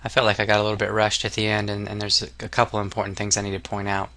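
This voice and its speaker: A man narrating a casual software tutorial as an instructor, explaining step by step in an informal, somewhat hesitant way. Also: lowish voice, completely flat delivery, very fast, slight background noise.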